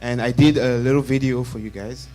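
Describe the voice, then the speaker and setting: A man interpreting a sermon live in church in short bursts, halting and rephrasing as he goes. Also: mid-range voice, fairly even intonation, a little mellow. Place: church, before a congregation. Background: faint music.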